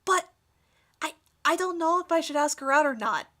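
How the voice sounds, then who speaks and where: A woman voicing a big, cheerful, oblivious, lovesick teenage boy in a dramatic fan fiction reading, guileless and excitable. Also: high voice, moderate pace, pitched above the performer's own voice. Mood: lovesick, nervous.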